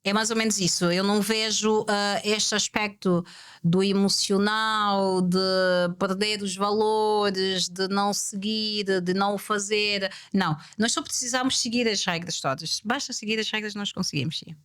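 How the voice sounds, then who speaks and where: A woman guest speaks in a reflective, measured way, with a voice of ordinary pitch for a woman. She stretches some phrases slowly, poses rhetorical questions and repeats her main points for emphasis. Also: steady tone, a bit bright, clean tone. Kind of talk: reflective podcast conversation.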